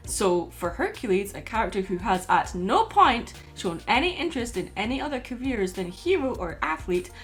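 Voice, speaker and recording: A woman narrates a scripted video essay in a mid-pitched voice, with faint music underneath. She sounds like a commentator laying out an argument. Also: sing-song, moderate pace, few pauses.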